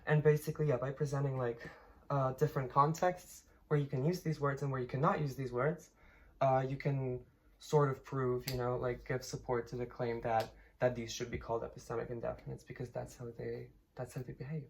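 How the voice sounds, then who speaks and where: A woman's voice: a bass voice, little pitch movement, a little fast, darkish tone. A young woman from Poland, casually explaining her thesis with many fillers, restarts and laughs. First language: Polish. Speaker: linguistics student.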